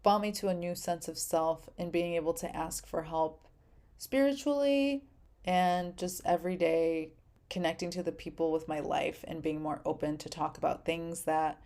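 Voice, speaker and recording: A woman talks on her own podcast in a gentle, encouraging way and recites affirmations for her listeners. Her voice sits a little low and sounds mellow and warm. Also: fairly even intonation, normal speed. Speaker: podcast host. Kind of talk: casual solo podcast talk.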